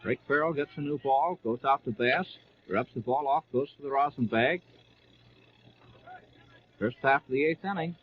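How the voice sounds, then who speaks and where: A man's voice: mid-range voice, moderate pace, long pauses. An American man calling baseball play by play as a 1930s radio announcer, narrating each move in clipped present-tense phrases. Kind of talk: 1930s live sports radio commentary. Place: live at a ballpark. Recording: muffled, noisy 1930s AM radio broadcast.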